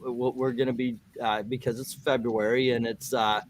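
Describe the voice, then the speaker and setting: A man's voice: medium pitch, level tone, normal speed. American man hosting over noisy audio. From United States. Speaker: host of a comic book read-along show. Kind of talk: casual rambling livestream chat.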